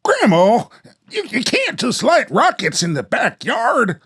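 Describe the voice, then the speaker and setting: A man's voice: very high voice, huge pitch swings, a little slow, a bit bright, husky, heavily acted. A man playing an anxious, exasperated young grandson in a radio play, hesitant, stammering and dramatic. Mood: protesting.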